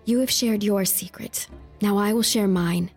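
A woman's voice: mid-range voice, measured pace, darkish tone, soft background music, close to an everyday voice. A woman playing a spirited young heroine. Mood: earnest. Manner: spirited, wry, determined. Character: bold young woman from Constantinople. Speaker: voice actor in an audio drama. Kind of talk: audio drama acting.

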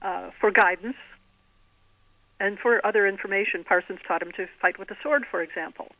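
A woman speaking as an author guest in an interview, explaining things articulately in measured phrases. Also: mid-range voice, bright, forceful tone, dry recording, poor audio. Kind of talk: interview conversation.

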